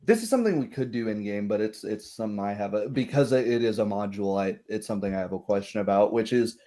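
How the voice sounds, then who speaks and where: A man speaking as game master, casually setting up a tabletop roleplay session in a rambling, halting way that often corrects itself. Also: on the low side, lively intonation, warm tone.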